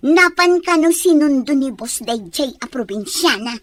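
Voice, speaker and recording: A woman's voice: sits high, expressive, moderate pace, a bit bright, no room sound. A woman with an Ilocano Filipino accent acting a jealous, snobbish young office woman in an audio drama. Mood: indignant.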